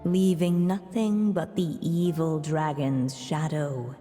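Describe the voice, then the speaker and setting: A woman narrating a fairy tale in a solemn, measured storytelling voice. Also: deep voice, fairly even intonation, dark tone. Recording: long reverb with game music.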